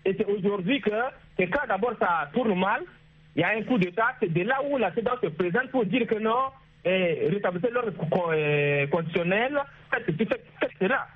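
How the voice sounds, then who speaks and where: A man with a Chadian accent calling in to a radio show, arguing his political opinion haltingly, repeating words. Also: high-pitched, animated, breathy edge. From Chad. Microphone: phone. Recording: muffled, lo-fi phone line.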